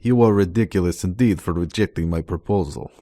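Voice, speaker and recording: A man's voice: low voice, brisk, mellow, no room sound, studio quality, lightly acted. A man acting in a radio drama as a menacing Russian assassin, taunting and theatrical in delivery. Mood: gloating. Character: menacing, gloating Russian male knife-wielding assassin.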